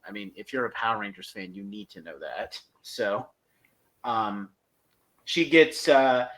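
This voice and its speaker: A man co-hosting a podcast, recapping and reviewing episodes casually in a halting, piecemeal way. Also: fairly low pitch, a bit bright. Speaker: podcast co-host.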